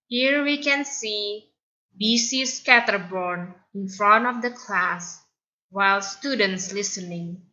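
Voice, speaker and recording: A woman's voice: mid-range voice, pushed and bright, highs cut off. A woman reading a children's story aloud and pointing out what the pictures show. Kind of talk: read-aloud narration with picture commentary.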